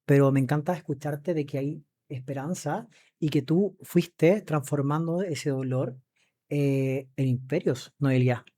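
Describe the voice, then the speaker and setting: A man with a fairly high, very soft-toned, mellow voice hosts a podcast interview. He talks effusively and with emphasis, often turning to address his audience. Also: measured pace. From Chile. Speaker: podcast host. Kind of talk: conversational interview.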